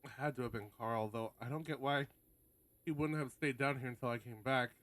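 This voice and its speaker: A man's voice: medium pitch, brisk, no echo. A man streaming a visual novel, reading the narration aloud with casual asides and the odd stumble and correction. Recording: prominent guitar music behind voice. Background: loud plucked guitar game music.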